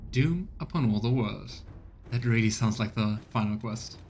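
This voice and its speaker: A man casually commenting on a video game as he plays, in a relaxed, chatty and musing way. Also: fairly low pitch, moderate pace, a little hiss or hum. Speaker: streamer. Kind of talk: casual let's play commentary.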